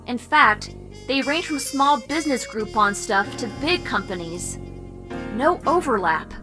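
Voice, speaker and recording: A woman playing a confident, teasing young hacker sidekick who explains things briskly in an audio drama. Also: fairly high pitch, animated, over music. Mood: confident, explaining. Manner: brisk, explanatory, self-assured. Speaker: voice actor.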